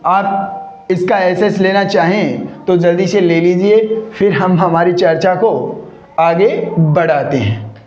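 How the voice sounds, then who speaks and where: A man's voice: high voice, slow pace, darkish tone. A man teaching a grammar lesson, who asks himself rhetorical questions and answers them. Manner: addresses viewers as friends, repetitive drilling. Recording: echoey room, dull lo-fi sound.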